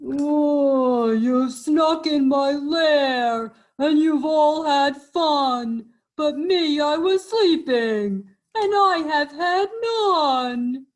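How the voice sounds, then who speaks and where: A big grumpy he-bear from a children's storybook, as a woman plays him. He wails loudly and slowly, pitched up high and blubbering with self-pity. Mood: tearful, aggrieved. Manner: loud, slow, blubbering wail.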